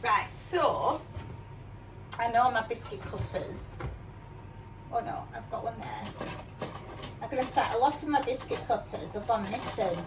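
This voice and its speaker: A woman's voice: fairly high pitch, lively intonation, measured pace, pauses often. Chatty older Yorkshire woman in her kitchen. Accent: mixed northern English, Yorkshire. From Yorkshire, England. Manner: chatty, self-deprecating, asks tag questions. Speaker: cooking vlogger. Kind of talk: casual chatty cooking demonstration. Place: home kitchen. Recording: distant mic with clear background noise.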